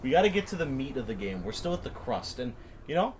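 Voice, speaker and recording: A man's voice: fairly high pitch, expressive, fast, noisy background. A man co-hosting a gaming show, chatting casually and a bit sardonically as he nitpicks the game. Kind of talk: casual chat commentary over video game.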